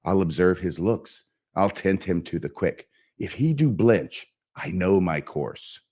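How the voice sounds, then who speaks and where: A man performing a self-loathing, melancholy young prince bent on revenge, in a declamatory, brooding theatrical soliloquy with dramatic pauses. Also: low-pitched, animated, mellow. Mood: scheming, brooding. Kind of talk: theatrical Shakespearean stage soliloquy.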